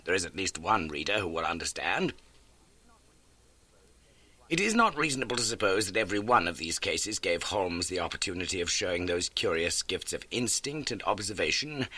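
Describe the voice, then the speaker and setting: A man's voice: sits low, sharp tone, dry recording. A man reading a classic story as a scripted audiobook narration. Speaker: audiobook reader.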